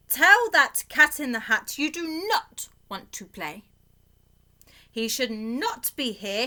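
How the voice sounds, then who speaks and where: A woman's voice: high voice, bright, forceful tone, full character voice. A woman voicing a fussy, indignant male pet fish from a storybook, protesting sternly and emphatically. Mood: stern, alarmed.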